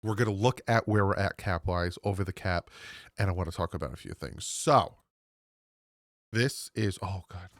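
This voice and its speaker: A man in his thirties with a lowish voice, thick and nasal from a head cold. He chats to his viewers in a loose, rambling way with quick asides. The sound is dry and close on a desk microphone. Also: no room sound. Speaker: sports channel host. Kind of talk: casual solo sports commentary. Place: home room.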